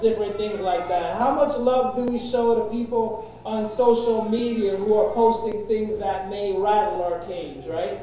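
A man preaches in an easygoing, conversational way, stumbling through fillers and restarts. His voice is mellow and comes from far off, with heavy echo. The recording sounds like it was made in a large church hall. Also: sits high, fairly even intonation, unhurried, few pauses, a little hiss or hum. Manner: casual, jokey opening, many fillers and restarts. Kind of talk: sermon.

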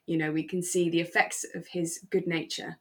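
A British woman co-hosting a relaxed fan podcast, chatting in an effusive, sentimental way. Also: fairly low pitch, normal speed. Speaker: podcast co-host. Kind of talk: relaxed fan chat about a radio drama.

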